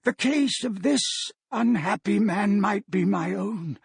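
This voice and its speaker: An old miser from a classic storybook, terrified and repentant. The voice climbs high and trembles as he begs and exclaims. It has a husky edge and sits close and dry. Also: slow pace, dry recording, exaggerated voice. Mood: horrified, dawning realisation. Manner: tremulous, beseeching, exclamatory. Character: frightened, repentant old miser pleading with a spirit.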